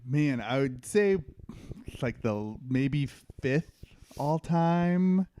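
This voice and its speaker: A man's voice: medium pitch, animated, slow, mellow, clean tone, no echo. Casual, slangy man in his thirties, dry sound. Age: 30s. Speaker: podcast host. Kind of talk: casual chat.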